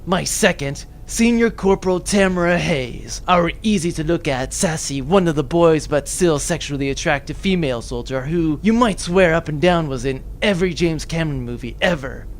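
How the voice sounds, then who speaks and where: A man's voice: fairly high pitch, moderate pace, few pauses, a little hiss or hum, close to an everyday voice. A man playing a cocky, pompous macho military sergeant in a comic sci-fi audio drama. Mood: swaggering. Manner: pompous, deadpan introductions.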